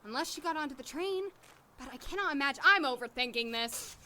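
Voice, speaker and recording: A woman's voice: high voice, lively intonation, fairly quick, pushed and bright, a little hiss or hum. A woman playing an anxious, sarcastic young doctor in an audio drama, flustered and given to talking to herself. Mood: wry, nervous muttering. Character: anxious, wry young woman doctor, new lab worker in town. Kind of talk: audio drama acting with inner monologue.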